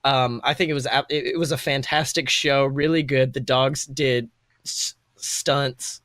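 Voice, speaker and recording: A man's voice: mid-range voice, fairly even intonation, measured pace, a bit bright, no room sound, studio quality. A man improvising narration as game master in a tabletop roleplaying game, in an informal, meandering, slangy storytelling way.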